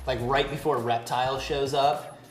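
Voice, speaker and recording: A woman's voice: extremely low pitch, steady tone, moderate pace. A woman debating casually and emphatically as a panelist on a talk show about video games. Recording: roomy echo, music underneath.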